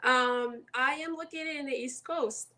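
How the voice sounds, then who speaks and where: A woman with a Ukrainian accent joins an interview over a video call. Her voice sits a little high, and she speaks in a friendly, upbeat way. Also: a little slow, pushed and bright. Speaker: guest. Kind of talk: casual interview conversation.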